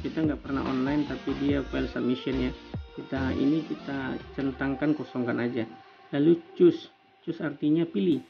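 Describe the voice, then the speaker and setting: A man's voice: medium pitch, creaky, warm tone. An Indonesian man, a university tutor, explaining on-screen steps informally one by one in a dull lo-fi phone recording. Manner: explanatory, often checks along with "ya" and "nah". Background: music.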